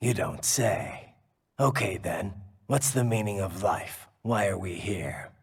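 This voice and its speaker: Game role: jaded cyborg warrior, very husky growl, dry sarcasm, long reverb. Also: male voice, low voice, slow pace, heavily acted. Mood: sardonic. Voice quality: gravelly, raspy. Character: gruff cyborg swordsman, jaded, sardonic male warrior. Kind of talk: dramatic video game voice acting.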